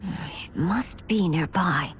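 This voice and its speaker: A woman's voice: lowish voice, slow, a little hiss or hum. An actress playing a resolute warrior woman in a dramatic video game cutscene. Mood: urgent, resolute.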